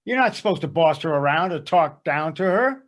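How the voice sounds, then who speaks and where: An actor playing an indignant, bossy, protective husband in a readers theater sketch, blustering and confrontational. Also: male voice, a little high, expressive, moderate pace, close to an everyday voice. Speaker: amateur stage actor. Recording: live amateur readers theater performance.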